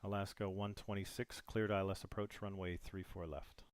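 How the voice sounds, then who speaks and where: Deep voiced guy playing atc, formal measured phrasing, dry close sound. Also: level tone, no room sound. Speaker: player as air traffic controller. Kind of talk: simulated air traffic control instructions.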